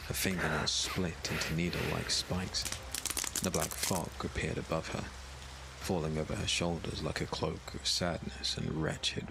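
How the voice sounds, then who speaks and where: A man narrating a scripted dark fantasy audiobook in a grave, dramatic storytelling manner. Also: deep voice, gravelly.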